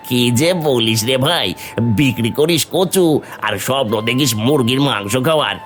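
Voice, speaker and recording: A man's voice: mid-range voice, expressive, a little slow, fairly bright tone, soft background music. A man playing a mocking, sneering village vendor in a fairy-tale cartoon, speaking with scorn. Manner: taunting, scornful.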